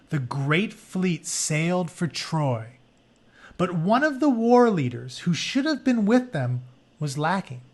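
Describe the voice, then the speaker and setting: Higher-pitched man reading aloud and explaining. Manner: informal, conversational, explains as he goes. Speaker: teacher reading a book aloud. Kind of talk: read-aloud with casual explanatory asides.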